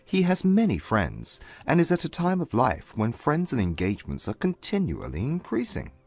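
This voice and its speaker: An actor playing a reserved, haughty gentleman with formal, stiff manners in a dramatized audiobook. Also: mid-range voice, wildly swooping pitch, normal speed, gravelly, husky, dry recording, soft background music, exaggerated voice.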